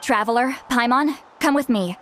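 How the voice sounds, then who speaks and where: A woman's voice: on the high side, moderate pace, a bit bright, a little hiss or hum, lightly acted. A woman playing a self-assured young shaman in a video game, matter-of-fact and dryly wry, in a clean studio recording. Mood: cool, dry.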